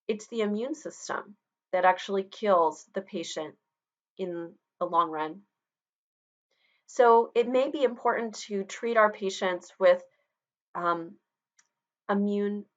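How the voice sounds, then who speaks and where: Woman, teacher, conversational, mid pitch, slightly dull webcam audio. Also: a bit bright. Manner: conversational, explanatory, personal asides. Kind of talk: recorded online lecture. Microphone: webcam video recording.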